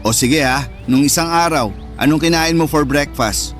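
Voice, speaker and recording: Man playing high-pitched, hurt older brother, Filipino accent. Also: moderate pace, a bit bright, lightly acted. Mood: hurt, resentful. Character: sensitive, hurt older brother, neglected by his mother. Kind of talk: Tagalog radio drama acting. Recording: music under the voice.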